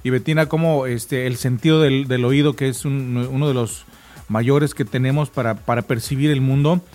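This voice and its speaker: A man's voice: medium pitch, fairly even intonation, normal speed, a little mellow. A man with a Mexican accent hosting a morning radio show in casual chat, addressing his co-hosts and listeners conversationally.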